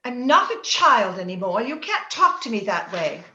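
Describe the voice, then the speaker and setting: A woman playing a proud, willful young gentlewoman torn by romance, theatrical and emotional in old-time radio drama style. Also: fairly high pitch, sing-song, normal speed. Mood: haughty, scornful. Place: indoor room, recorded remotely over video call. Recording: roomy, dull video-call recording.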